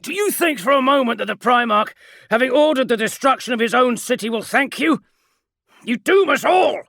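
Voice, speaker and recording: Grating armoured sergeant, voiced by a man, commanding, curt, high and lively. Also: normal speed, no room sound, heavily acted. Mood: panicked. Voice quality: grating, powerful. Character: grim armoured male space marine sergeant.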